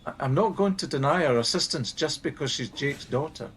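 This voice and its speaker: A man's voice: on the high side, lively intonation, a little fast, fairly bright tone, slight background noise. A man playing a conscientious helpline team leader in a naturalistic radio play, reasonable and mildly defensive. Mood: measured, defensive.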